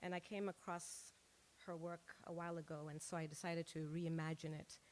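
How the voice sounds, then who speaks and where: A woman's voice: low-pitched, flat delivery, normal speed, slight background noise. A woman from Zimbabwe whose first language is Shona, performing spoken word poetry at an open mic and leading a rhythmic chanted refrain. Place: small music club stage.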